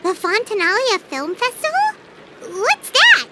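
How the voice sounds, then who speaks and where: A woman voicing a tiny, perky and blunt childlike fairy companion in a video game, with a squeaky voice. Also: wildly swooping pitch, slow pace, heavy background noise, heavily acted. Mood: curious. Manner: refers to herself in third person, exclaims and questions. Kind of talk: cartoonish video game acting.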